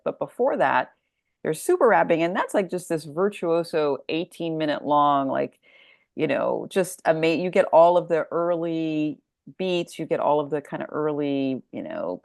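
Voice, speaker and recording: A woman's voice: low-pitched, gravelly, dry recording, studio quality. A middle-aged American woman talking casually as an interview guest, thoughtful and discursive as she works out her answers. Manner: thoughtful, discursive, thinking aloud. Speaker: guest author discussing her story collection.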